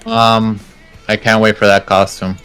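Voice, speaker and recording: A man's voice: fairly low pitch, level tone, measured pace, a bit bright, clear, resonant tone, faint music behind. A man chatting casually as a co-commentator on a gameplay video. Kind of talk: casual chat over gameplay.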